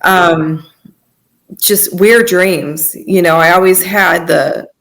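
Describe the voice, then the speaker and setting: Woman, creaky voice, telling a personal story on a podcast, earnest and a bit halting. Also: on the low side, fairly even intonation, a bit bright, poor audio. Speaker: podcast guest. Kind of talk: personal testimony, conversational storytelling.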